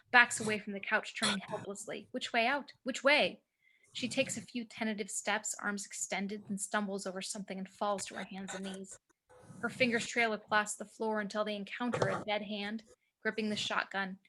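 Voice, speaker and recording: A woman's voice: mid-range voice, sharp tone, slight background noise, rough recording. A woman reading screenplay action as narrator, with dramatic, clipped phrasing, recorded over a home video call.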